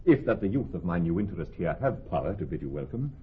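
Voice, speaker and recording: Ardent young gentleman, widely swinging delivery. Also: low voice, sing-song, fast, slightly rough, heavily acted. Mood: cordial. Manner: formal, impassioned verse delivery. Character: ardent, loyal young Venetian gentleman in love. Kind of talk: classical Shakespearean radio drama. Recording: dull vintage radio recording, music behind.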